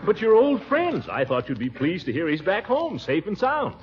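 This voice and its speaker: A man's voice: sits high, wildly swooping pitch, normal speed. A man playing a pompous, lecturing middle-aged bachelor uncle who chuckles, in 1940s radio acting style, on a dull old radio recording.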